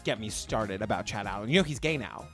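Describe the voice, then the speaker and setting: Animated gossipy male podcast host. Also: on the low side, fast, soft background music. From Cape Cod, Massachusetts. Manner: animated, gossipy, laughs often. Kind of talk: casual chat.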